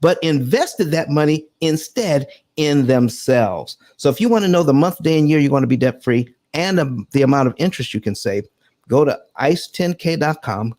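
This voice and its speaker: A man's voice: medium pitch, dark tone. A man hosting a live finance show who talks emphatically, repeats himself and calls the audience folks. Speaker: host.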